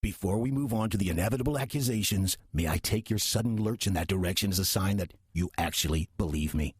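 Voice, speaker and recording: A husky, low, sardonic demon. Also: male voice, darkish tone, dry recording. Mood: patient, wry. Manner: dry, urbane, condescending, measured. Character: weary, sardonic male demon posing as church deacon. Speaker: narrator and lead character of audio drama. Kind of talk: audio horror-comedy drama acting.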